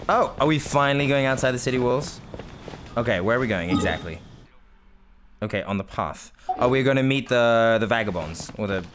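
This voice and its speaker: A man's voice: medium pitch, expressive, a little fast, noisy background. A man from Britain giving casual, rambling let's-play commentary as he plays, chatty, self-mocking and often laughing. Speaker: gaming video host.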